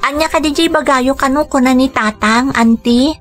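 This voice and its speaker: A woman's voice: high voice, moderate pace, over loud music, lightly acted. An actress playing a tender, hesitant, emotional young woman in a radio drama. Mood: concerned. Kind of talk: Ilocano radio drama acting.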